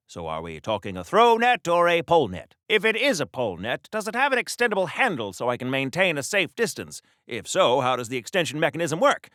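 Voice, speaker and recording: A male voice actor playing a chatty, courtly, oblivious gentleman adventurer in a comic superhero game, in a mannered, wordy 1940s old-time radio style. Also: fairly high pitch, no echo, studio quality, heavily acted. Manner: mannered, wordy, theatrical, polite.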